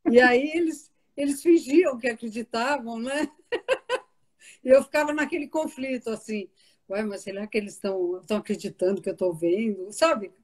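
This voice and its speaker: An older woman, born in Italy, tells childhood stories in a loose, chatty way. Her voice sits a little high for a woman, and she laughs easily and lets sentences trail into repeats. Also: normal speed. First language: Italian. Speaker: guest author. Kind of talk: relaxed conversational interview.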